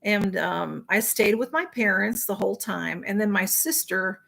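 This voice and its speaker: A woman's voice: mid-range voice, unhurried, vocal fry, fairly bright tone. A tired middle-aged woman, chatting casually on a livestream, rambling with fillers and greeting viewers by name. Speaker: livestream host. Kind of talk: casual chat with live viewers.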